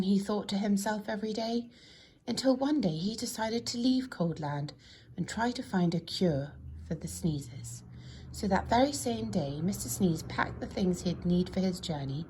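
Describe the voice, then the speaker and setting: A woman narrating a children's storybook in an expressive, deliberate storytelling manner. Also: mid-range voice, a little hiss or hum. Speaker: storybook reader.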